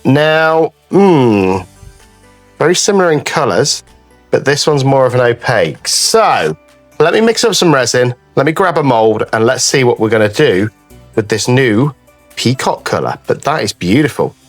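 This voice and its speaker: A man hosting a craft video in a chatty, casual way. His voice is a little croaky with a cold and rises and falls in a lively way. Music plays behind him. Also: mid-range voice, normal speed. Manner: chatty, addresses viewers as guys. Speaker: craft channel host. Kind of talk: casual craft tutorial chat.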